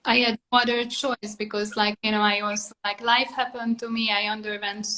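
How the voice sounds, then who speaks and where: A woman's voice: mid-range voice, little pitch movement, fairly quick, pushed and bright, poor audio. A woman from Hungary with a Hungarian accent, talking casually about personal things in a rambling way, peppered with filler phrases. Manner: rambling, full of "like" and "you know".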